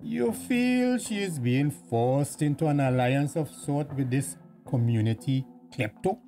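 A male village police constable with a Tobagonian accent, in radio drama style. He questions formally and slowly, in a mellow voice that rises and dips as he probes. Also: medium pitch, animated, soft background music. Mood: measured, probing. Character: measured, inquisitive village police constable, a man.

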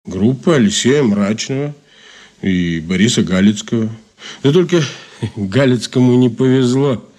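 Casting: folksy ex-anarchist informant, wry, colloquial, animated mid-range male voice, radio play. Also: medium pitch. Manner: colloquial, halting with filler sounds. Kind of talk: Soviet radio drama acting.

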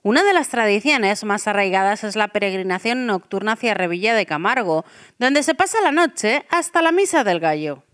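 A woman with a Spanish accent reading local news headlines and reports in a steady, scripted way. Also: mid-range voice, normal speed, clear, resonant tone. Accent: Spanish from Spain. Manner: steady read-aloud delivery. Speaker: news presenter.

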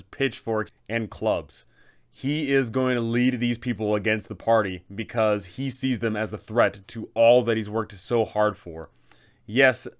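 A man's voice: on the low side, clean tone. A man in his thirties hosting a guide for game masters, explaining things in an emphatic, methodical way. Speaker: host, game master giving advice. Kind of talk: explanatory guide to a tabletop adventure.

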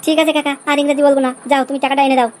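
A man's voice: very high voice, flat delivery, very fast. A man voicing a brash, scheming young village husband in an amateur comedy cartoon. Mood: haggling. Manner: brash, colloquial.

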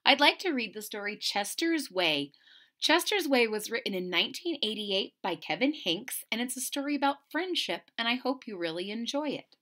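A middle-aged woman reading a picture book aloud to children, expressive and deliberate, pausing to question her listeners. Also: a little high. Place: small indoor room at home.

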